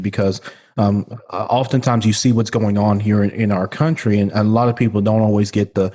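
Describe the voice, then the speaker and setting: A man's voice: on the low side, dark tone. A man, talking earnestly as a podcast guest and leaning on "you know" fillers. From Memphis, Tennessee. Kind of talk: casual interview talk. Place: home room. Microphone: studio condenser mic with pop filter.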